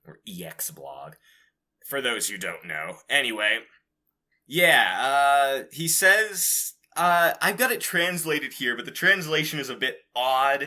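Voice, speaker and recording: A man's voice: low voice, bright, forceful tone. A man hosting a podcast, chatting casually about game news in an informal, rambling, casually profane way. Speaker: podcast host.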